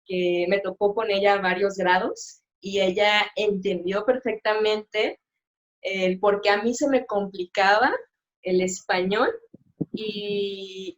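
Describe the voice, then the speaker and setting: A middle-aged woman, a sign language interpreter, talks as a guest on a video call and pauses often with fillers and restarts. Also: mid-range voice, steady tone, lo-fi sound. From Mexico. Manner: hesitant, many fillers and restarts. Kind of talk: live-stream interview, casual chat.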